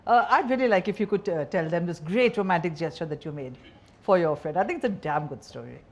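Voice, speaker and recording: A woman's voice: fairly low pitch, animated, fast. A woman with an Indian accent asking questions as an interviewer, in an informal and inquisitive way. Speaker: interviewer, senior journalist. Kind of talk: conversational interview.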